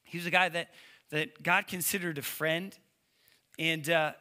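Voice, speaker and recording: A man's voice: fairly high pitch, steady tone, a little slow, bright, forceful tone. A man with a lisp giving a casual, conversational sermon as a preacher, with informal, slangy storytelling. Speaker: preacher, youth ministry leader.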